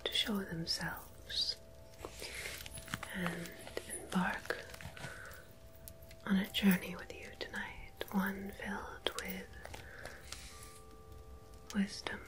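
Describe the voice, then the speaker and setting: A woman playing a mysterious, gentle tarot medium in an ASMR roleplay, speaking in a soft breathy whisper with deliberate pauses. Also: mid-range voice, little pitch movement. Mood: mystical, solemn. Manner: slow, hushed, deliberate, with long pauses. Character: mysterious gentle female tarot medium between two worlds.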